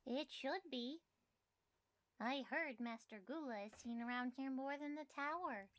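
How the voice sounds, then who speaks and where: A woman's voice: high voice, unhurried, a bit bright. A woman voicing a small, caring, anxious companion creature in a fan-dubbed game cutscene. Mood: gentle concern. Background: music under the dialogue.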